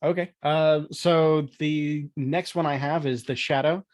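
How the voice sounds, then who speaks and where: A man talking casually as a podcast guest about old-time radio, somewhat halting as he thinks aloud. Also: a little high, fairly even intonation, no room sound, studio quality. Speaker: podcast guest, audio drama producer.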